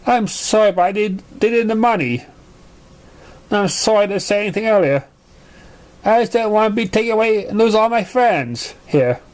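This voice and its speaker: Man voicing an anxious young filly, high-pitched. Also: normal speed, natural voice. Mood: apologetic, pleading. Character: anxious, apologetic young girl pony.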